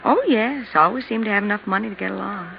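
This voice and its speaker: An actress playing a gossipy, soft-hearted apartment landlady in a 1950s radio drama. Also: medium pitch, quick pace, creaky, natural voice. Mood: gossipy, frank. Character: sad, gossipy woman running an apartment house. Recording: noisy, muffled 1950s radio recording.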